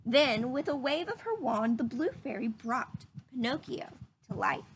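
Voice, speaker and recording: Slow, animated high-voiced woman reading aloud. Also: slight background noise. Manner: slow, animated storytelling with asides to listeners. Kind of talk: expressive children's read-aloud.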